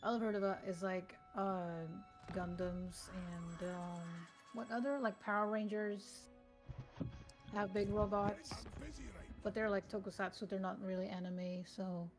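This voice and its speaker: A woman's voice: mid-range voice, fairly even intonation, unhurried, faint music behind. A woman whose first language is not English, chatting casually with friends on a gaming stream, giggling often and trailing off mid-thought.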